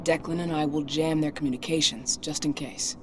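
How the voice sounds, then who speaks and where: A woman's voice: low voice, steady tone, a little fast, noisy background, natural voice. Focused, businesslike rebel hacker woman played by an actress. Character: focused female rebel hacker and mission coordinator. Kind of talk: dramatic video game acting.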